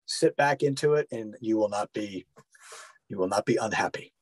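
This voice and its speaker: A man with a fairly low voice talks casually as an interview guest, in the role of actor and director. The sound is dry, with hardly any room to it. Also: normal speed, husky, dry recording.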